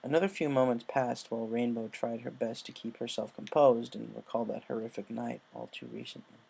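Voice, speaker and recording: A man's voice: mid-range voice, fairly even intonation, a little fast, creaky, a little mellow, breathy edge, low-quality recording. A man reading a scripted story aloud as narrator of a fan fiction.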